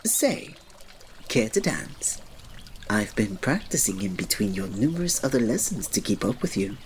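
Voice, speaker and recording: A man playing a reserved, devoted royal servant in an ASMR roleplay, speaking formally and politely with quiet fondness and restraint. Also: on the low side, sing-song, normal speed, a little hiss or hum. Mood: quietly fond. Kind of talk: ASMR roleplay, scripted drama.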